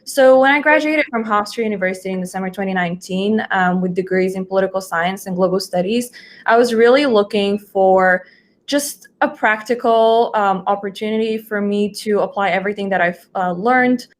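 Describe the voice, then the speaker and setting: A woman's voice: mid-range voice, moderate pace. Young woman, conversational guest speaker. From Bulgaria. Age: 20s. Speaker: guest, junior research analyst. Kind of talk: conversational account of own work.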